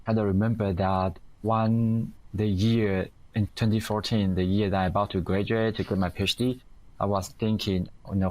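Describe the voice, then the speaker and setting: A middle-aged man from Taiwan with a Taiwanese accent, giving halting, reflective interview answers as a guest. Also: low voice, poor audio. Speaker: guest, company founder and engineer.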